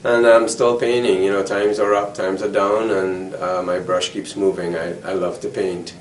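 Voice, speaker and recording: A man's voice: deep voice, little pitch movement, moderate pace, faint music behind. A man in his fifties from Trinidad talking casually about his painting. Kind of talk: casual talk to camera about his work. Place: indoor gallery or studio. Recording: roomy, distant mic, faint noise.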